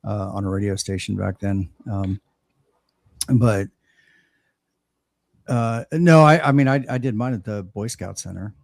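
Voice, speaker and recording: An older man chatting on a ham radio show, halting and repeating words as he thinks. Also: on the low side, creaky, dry recording, clean studio sound. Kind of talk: casual chat.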